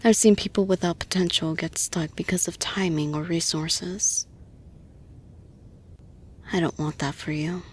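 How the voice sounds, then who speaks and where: A woman playing a caring, composed female boss in an intimate audio roleplay, speaking in a soft, breathy, husky, reassuring voice with slow, deliberate phrasing. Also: on the low side, fairly even intonation, slight background noise, lightly acted. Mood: sincere, caring.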